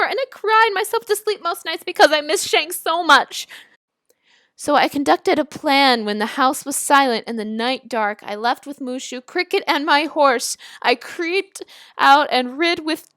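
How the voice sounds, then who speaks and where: A woman reading a story aloud and breaking off for casual, giggly, animated reactions of her own. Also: sits high, no echo, clean studio sound. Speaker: video creator reading and reacting to fan fiction.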